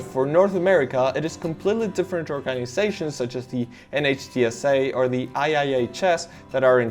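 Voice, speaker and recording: A man from Argentina, speaking English as a second language, narrating a car safety explainer with enthusiastic emphasis. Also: mid-range voice. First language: Spanish. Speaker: car reviewer.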